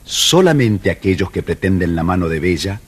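A man narrating a children's storybook record in a measured storytelling voice. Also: low-pitched, very animated, over loud music.

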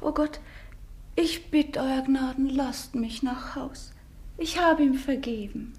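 Timid, high-voiced naive wife. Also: female voice, slow pace, a little mellow. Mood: frightened, meek. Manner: meek, hesitant, childlike simplicity. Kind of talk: German radio drama acting.

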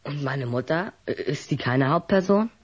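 A man's voice: a little high, expressive, measured pace, breathy edge, full character voice. A young male actor playing a wary, cheeky schoolboy in an audio drama, guarded and defiant. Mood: cheeky, satisfied. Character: wary, cheeky schoolboy who loves scary books.

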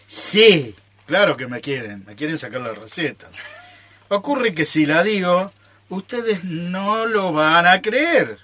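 A man's voice: high-pitched, animated, slow pace, bright, forceful tone, full character voice. A man with an Argentine accent acting a stubborn, teasing keeper of a secret recipe in amateur radio theatre, with coy, theatrical delivery.

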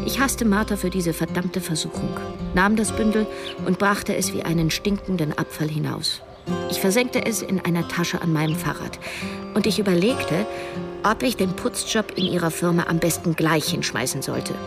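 A woman's voice: on the low side, normal speed, over music, lightly acted. An actress playing a wry, stubborn cleaning woman who confides her story in a German radio drama. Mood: confiding, brooding. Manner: confiding, hesitant in dialogue. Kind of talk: German radio drama first-person narration.

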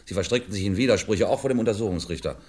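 An actor playing a stern, insistent police inspector who questions in a clipped, pressing way, in radio crime drama style. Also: male voice, fairly low pitch, racing, darkish tone, lightly acted. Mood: exasperated.

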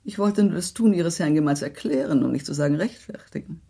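An actress playing a gracious, tactful minister's wife in a German radio play, speaking in a formal, measured, diplomatic way. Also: female voice, sits low, vocal fry, dark tone, breathy edge, full character voice. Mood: measured, reassuring.

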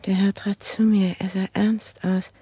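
A woman playing an anxious, humble old maidservant of 59 in a radio play, with a breathy, half-whispered, brooding delivery. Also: mid-range voice, flat delivery, a little slow, dark tone, dry recording. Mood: anxious, pleading. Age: older. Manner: subdued, repetitive, brooding. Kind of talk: 1960s radio play monologue.

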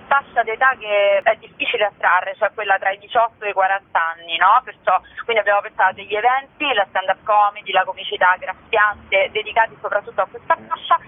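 A woman's voice: medium pitch, brisk, hardly any pauses. A woman speaking spontaneously as a festival curator in an interview, sometimes searching for words mid-phrase, over a telephone. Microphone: telephone call. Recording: narrow, tinny telephone line.

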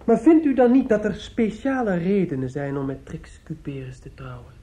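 An actor in a radio drama playing a bitter young rival in love, coldly polite, clipped and sardonic. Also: male voice, high voice, big pitch swings, moderate pace, mellow. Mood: cold challenge. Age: young adult. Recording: dull, muffled radio play recording.

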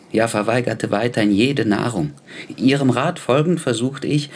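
An actor playing a devoted, solicitous attendant to an ailing philosopher in a radio play, speaking in formal, precise old-fashioned phrases. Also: male voice, on the low side. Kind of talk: German radio play acting.

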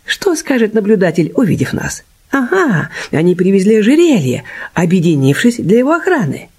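Polite Chinese detective, formal, deliberate, husky, highly varied intonation, radio drama. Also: big pitch swings, darkish tone. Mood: calm, scheming. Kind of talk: radio play acting with narration.

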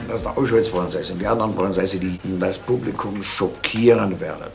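A sober senior prosecutor in a radio drama. His very low voice is measured and reflective, with faint music behind it. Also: creaky. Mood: grave, reflective. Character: sober senior state prosecutor speaking in public discussion. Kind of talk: radio drama re-enactment of public remarks.

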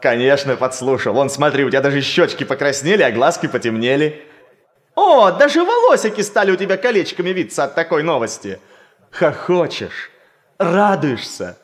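Актёр играет весёлого, насмешливого влюблённого студента в радиокомедии шестидесятых. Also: male voice, fairly high pitch, normal speed. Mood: tender, playful. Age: young adult. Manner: playful, animated, teasing. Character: cheerful, teasing young Warsaw student in love. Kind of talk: 1960s stage-like radio comedy acting.

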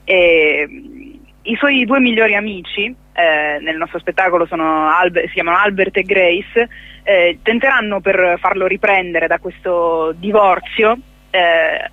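A woman speaking as an actress and guest in a radio interview, recounting in a halting way and correcting herself as she goes. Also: fairly low pitch, no room sound, phone-quality sound.

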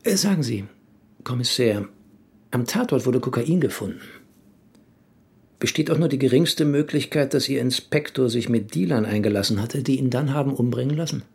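An actor playing a cautious, formal investigating judge in a radio drama, measured and official, with a slightly husky voice. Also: male voice, mid-range voice, expressive, darkish tone. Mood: cautious, probing. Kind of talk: German radio drama acting.

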